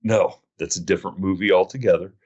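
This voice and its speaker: Deep-voiced guy rambling thru a story on his podcast, pokes fun at himself. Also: steady tone, quick pace, darkish tone, lo-fi sound. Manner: rambling storyteller, self-mocking. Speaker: podcast host. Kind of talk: casual chat.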